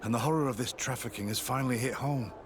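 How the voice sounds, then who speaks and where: A man's voice: mid-range voice, fast, background noise, natural voice. A man playing a guilt-ridden, secretive army sergeant turned informant in a video game, guarded, earnest and confiding. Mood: remorseful. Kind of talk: video game cutscene acting.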